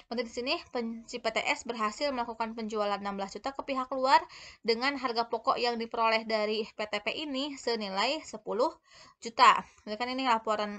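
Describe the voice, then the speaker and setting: Higher-voiced woman explaining step by step. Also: a bit bright. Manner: halting, step-by-step explaining with frequent self-corrections. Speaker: accounting lecturer. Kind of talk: explanatory video lesson.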